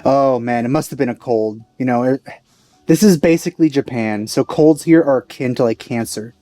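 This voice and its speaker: A woman's voice: rumbling low, normal speed, mellow, faint music behind. A woman giving casual, offhand, joking commentary while playing a video game. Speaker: let's play host.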